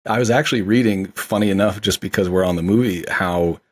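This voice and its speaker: A man co-hosting a casual chat, enthusiastic and quick to agree. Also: deep voice, husky. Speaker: podcast co-host.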